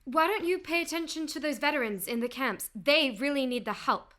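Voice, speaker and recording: High-voiced, earnest young sweetheart. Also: female voice, brisk, a bit bright. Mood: guarded, defiant. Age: young adult. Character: jittery, struggling young woman in love, Depression era. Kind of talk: radio play acting.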